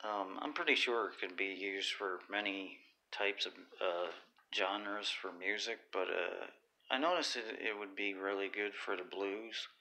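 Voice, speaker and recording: Slow, gravelly-voiced man rambling casually. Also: on the low side, bright, forceful tone. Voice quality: raspy. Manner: halting, many fillers and restarts. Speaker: YouTuber. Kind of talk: casual livestream talk.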